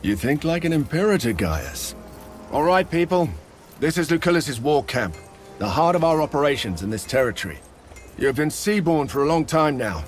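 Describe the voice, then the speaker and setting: Lively, bluff Roman centurion. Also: male voice, a little high, normal speed, soft background music. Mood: commanding, instructive. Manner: bluff, wry, commanding. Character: seasoned, wry Roman centurion, commanding officer and mentor. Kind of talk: scripted video game acting.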